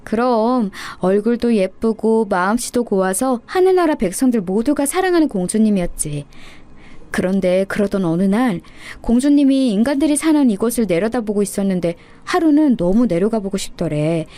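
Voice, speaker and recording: A woman voicing a gentle, patient mother who explains things to her child as a fairy tale. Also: a little high, lively intonation, normal speed, lightly acted. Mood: tender. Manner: tender, explanatory storytelling to a child.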